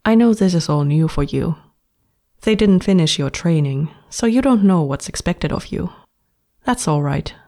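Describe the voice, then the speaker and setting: A woman's voice: fairly low pitch, expressive, moderate pace, mellow. A woman voicing a cheerful, bossy young woman who talks sweetly and patronizingly to her new pet. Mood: bright, condescending.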